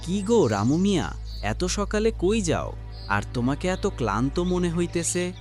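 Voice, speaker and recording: A man's voice: sits high, sing-song, unhurried, a little mellow, soft background music. A man playing a friendly, inquisitive village neighbour in a children's folk-tale cartoon. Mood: friendly curiosity.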